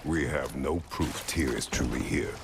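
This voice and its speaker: An actor playing a stern, battle-hardened warrior father in a video game, with a deep gravelly rumble and terse, clipped commands. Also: a little slow, full character voice. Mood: stern, guarded.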